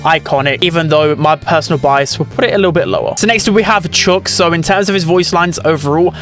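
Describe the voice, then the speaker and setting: A man's voice: fairly high pitch, fast talker, a bit bright, faint music behind. A young man giving casual, chatty gaming commentary in a run-on delivery. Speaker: gaming video host.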